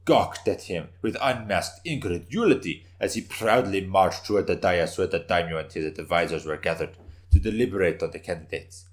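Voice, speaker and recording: Deep-voiced man narrating dramatically. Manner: intense, theatrical. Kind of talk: dramatic story reading, scripted narration.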